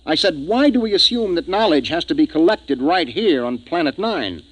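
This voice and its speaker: A decisive American spaceship captain in vintage radio drama. His pitch moves widely as he broods and reasons, with the sound of a 1950s radio recording. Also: lively intonation, normal speed, no room sound, rough recording. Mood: intent, reasoning.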